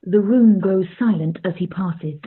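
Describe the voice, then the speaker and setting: A woman's voice: medium pitch, a little slow, breathy edge. A woman narrating a scripted period radio play as a neutral storyteller, on a tinny recording.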